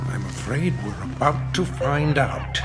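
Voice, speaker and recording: An actor playing a grave, weary American president in a radio drama, measured and commanding. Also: male voice, mid-range voice, expressive, close to an everyday voice. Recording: music behind the voice.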